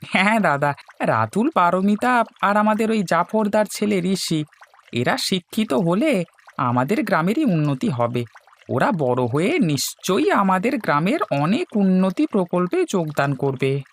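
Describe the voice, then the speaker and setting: A man's voice: high-pitched, big pitch swings, unhurried, full character voice. A man playing a humble, respectful small farmer in a cartoon story. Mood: friendly. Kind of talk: Bengali cartoon story dialogue.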